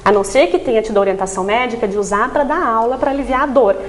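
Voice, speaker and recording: Female expert guest for TV interview, higher-pitched, explains step by step, checks in often. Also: quick pace. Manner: didactic, frequent tag questions seeking agreement. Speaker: voice therapist guest.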